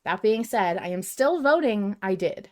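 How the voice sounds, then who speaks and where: A woman podcast host talking casually and emphatically like an excited fan, often repeating and restarting her phrases. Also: medium pitch, moderate pace. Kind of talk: casual fan discussion, ranting.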